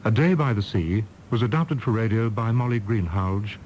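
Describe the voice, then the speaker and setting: A man's voice: fairly low pitch, animated, moderate pace, darkish tone, slight background noise, low-quality recording. A man reading scripted credits as a radio announcer.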